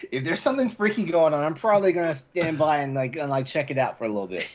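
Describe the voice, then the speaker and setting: A man's voice: a little high, animated, fairly quick, highs cut off, slight background noise. A man hosting a podcast, chatting casually and jokingly, often laughing as he talks. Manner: jokey, laughs through his talk. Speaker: podcast host. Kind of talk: casual adult comedy podcast chat.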